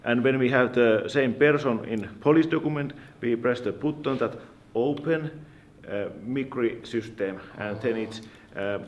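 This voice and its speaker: A man, an official, carefully and haltingly explaining a work procedure. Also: mid-range voice, muffled recording, slight background noise.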